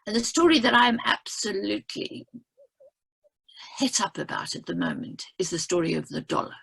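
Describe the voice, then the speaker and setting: A woman's voice: medium pitch, sing-song, moderate pace, creaky, a bit bright, slightly rough, low-quality recording. An older woman from South Africa, an economist explaining with emphatic storytelling on a video call, often checking in with "right?". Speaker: economist speaking on a webinar panel. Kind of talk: impassioned explanatory talk.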